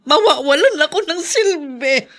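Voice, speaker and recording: A woman's voice: sits high, animated, a little slow, fairly bright tone. An actress playing an emotional, anxious middle-aged mother who is losing her sight, in a Filipino radio drama. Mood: tearful, apologetic. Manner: emotional, pleading.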